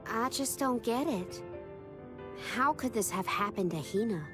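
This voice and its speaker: A woman voicing a brash, hot-tempered young adventurer in a video game, blunt and quick to scold. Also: fairly high pitch, animated, music in the background. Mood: upset, worried. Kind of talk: video game cutscene acting.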